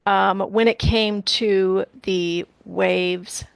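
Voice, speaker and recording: A woman's voice: medium pitch, steady tone, drawn-out words, gravelly, clean tone, dry recording. A woman interviewing in a casual, conversational way, eagerly jumping in and repeating words for emphasis.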